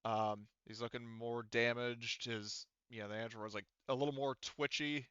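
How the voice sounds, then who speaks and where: A man's voice: mid-range voice, fairly even intonation, normal speed, a bit bright, clean tone, no echo. A man running a tabletop game as game master, narrating casually and informally with some swearing, on slightly dull audio.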